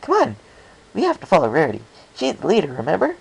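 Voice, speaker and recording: A man voicing a bouncy, cheerful, childlike female cartoon pony in an excitable, eager, gushing manner. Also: on the high side, fast talker, creaky, breathy edge, voice pushed up, heavily acted, poor audio.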